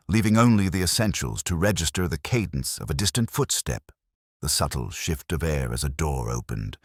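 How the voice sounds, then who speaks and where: Guy doing a thoughtful detective telling his case, super low voice, swoops around a fair bit, solemn audio drama style. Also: no echo, studio quality, exaggerated voice. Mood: brooding, reflective. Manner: measured, literary, solemn. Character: reflective, observant male detective inspector narrating his case. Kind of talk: scripted first-person mystery narration.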